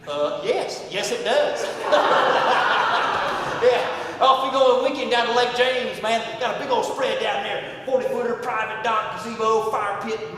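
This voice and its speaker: A brash redneck who brags loudly and crudely and keeps breaking into cackles. His voice sits high. It sounds distant, with a long reverb in an echoey space. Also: a little hiss or hum. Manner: loud, crude, back-slapping bragging with cackling laughs. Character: brash boastful Southern redneck businessman.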